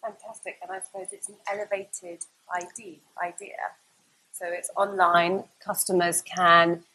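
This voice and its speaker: A woman's voice: lowish voice, flat delivery, unhurried, bright, forceful tone, roomy sound, slight background noise, low-quality recording. A woman hosting and moderating a live panel discussion, introducing guests and giving brief upbeat affirmations. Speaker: host, moderator.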